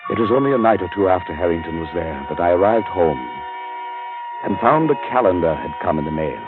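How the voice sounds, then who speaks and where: An actor playing a haunted scholar who narrates his own tale in a grave, measured 1940s radio drama style. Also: low voice, lively intonation, over music. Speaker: narrator and lead character. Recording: tinny old radio broadcast.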